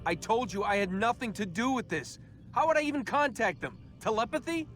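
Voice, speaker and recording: An actor playing a defiant, sarcastic young captive in a video game, casual and wry. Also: male voice, high-pitched, animated, fairly quick, a bit bright, soft background music. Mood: defensive, sarcastic. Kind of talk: naturalistic video game acting.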